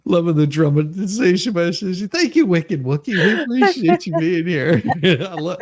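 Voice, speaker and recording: A theatrical livestream host reads aloud in a mocking, comedic way. The voice swoops widely in pitch and sits on the low side, with crude, playful asides thrown in. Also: very animated, normal speed. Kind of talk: comedic dramatic reading of a court ruling, with ad-libs.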